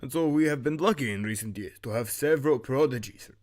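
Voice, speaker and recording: A solemn master of archers in a fantasy audio drama, acted by a man. He speaks formally and with measured weight, at a middling male pitch. He is grave and authoritative. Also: close to an everyday voice. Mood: formal pride.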